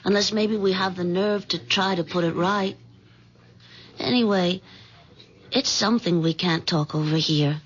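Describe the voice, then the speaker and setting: Seductive schemer, low voice, commanding, sultry, vintage radio drama, muffled recording. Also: female voice, a little slow, pauses often, breathy edge. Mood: cool, urgent. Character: seductive, scheming female bank co-worker, coolly commanding. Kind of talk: old-time radio drama acting. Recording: dull lo-fi old radio recording.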